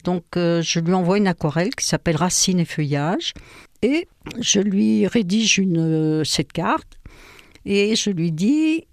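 A woman's voice: fairly low pitch, lively intonation, measured pace, no echo. Older woman, Ukrainian native speaker, hesitant with many fillers, dry close sound. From France. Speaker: guest. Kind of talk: personal testimony in an interview.

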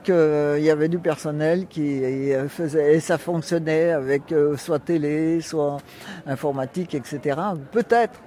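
A man's voice: a little high, unhurried, dark tone. Hesitant man in a street interview. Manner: hesitant, rambling. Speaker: interviewed resident. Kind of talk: street vox pop.

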